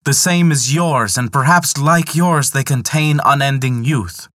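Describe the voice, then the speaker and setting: A man's voice: medium pitch, a little slow, no room sound, studio quality, pitched above the performer's own voice, natural voice. A man voicing a sly male trickster god who pleads desperately and coaxes eagerly in a retold myth. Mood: wheedling. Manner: pleading, wheedling, excitable.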